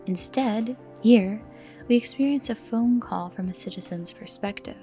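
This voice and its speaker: A woman giving scripted video-essay commentary with dramatic emphasis and hushed, breathy phrase endings. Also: a little high, normal speed. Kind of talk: scripted commentary on a horror series. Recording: music behind the voice.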